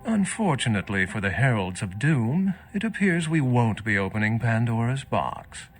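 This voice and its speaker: An actor playing a wry, worldly old vampire scholar in a video game, speaking in an erudite, measured and sardonic way. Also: male voice, mid-range voice, very animated. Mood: dry, scholarly.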